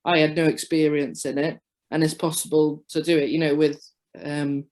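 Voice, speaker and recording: A woman sharing her story as a guest on a video call, halting with many restarts. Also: low-pitched, level tone, darkish tone. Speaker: webinar guest.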